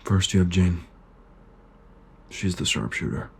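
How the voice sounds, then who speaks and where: A man's voice: deep voice, lively intonation, a little slow, gravelly, a little hiss or hum, full character voice. A man playing a bitter, battle-worn shock trooper sergeant in a sci-fi audio drama, terse and brooding. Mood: subdued, reflective.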